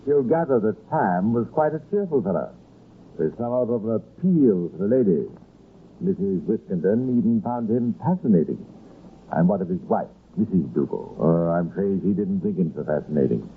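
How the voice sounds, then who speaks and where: An actor with a British accent playing a wry, ominous narrator of a true-crime tale in an old radio drama, telling it theatrically. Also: male voice, deep voice, expressive, moderate pace. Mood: wry, confiding. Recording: old radio recording, narrow band, noisy.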